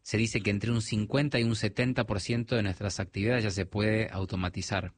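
A man's voice: on the low side, steady tone, fairly quick, no echo. A man with a mild Argentine accent, a technology guest on a radio interview, explaining at length with frequent restarts.

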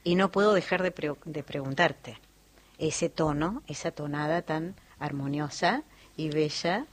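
An Argentine woman with a lower-pitched voice hosts a radio interview in a lively, chatty way. She sounds enthusiastic as she introduces her guest and asks him questions. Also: measured pace. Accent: Argentine Rioplatense. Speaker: radio host.

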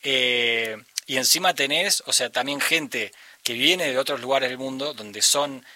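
A man with an Argentine accent, a musician talking thoughtfully in an interview and often pausing to search for the right word. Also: mid-range voice, bright, forceful tone, no echo. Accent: Argentine Spanish. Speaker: musician guest. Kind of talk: reflective conversational interview.

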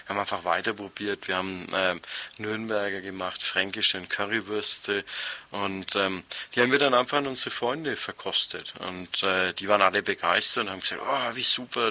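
Ein Mann aus Nürnberg erzählt als Studiogast frei und stockend, mit vielen gefüllten Pausen. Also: male voice, fairly low pitch, bright, forceful tone. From Nuremberg, Germany. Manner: hesitant, halting, frequent filled pauses. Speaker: interview guest, restaurant co-founder. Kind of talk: spontaneous interview storytelling. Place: radio studio.

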